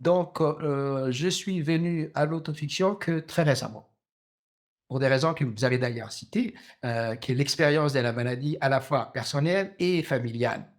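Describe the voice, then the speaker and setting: A man in his fifties from Djibouti, a novelist answering interview questions slowly, with many pauses, fillers and restarts. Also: mid-range voice. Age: 50s. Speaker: novelist guest.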